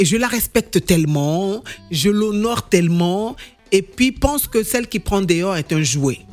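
A woman's voice: on the low side, darkish tone. A woman singer being interviewed in a radio studio, explaining her views earnestly and repeating herself for emphasis. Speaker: singer and guest.

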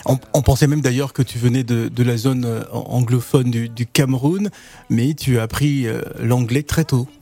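Mellow-voiced male interview host. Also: mid-range voice, fairly even intonation, creaky. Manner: chatty, prompting with quick questions. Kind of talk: casual interview.

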